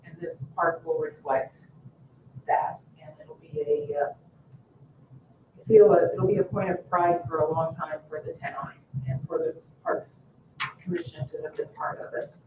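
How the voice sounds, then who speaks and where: A board chair talks through matters in a measured, explanatory way at a public meeting. The voice sits far from the microphone in an echoey room, slightly dull with faint noise. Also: mid-range voice, fairly even intonation. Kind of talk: formal public meeting discussion. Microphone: distant room microphone. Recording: roomy, distant, muffled mic, faint noise.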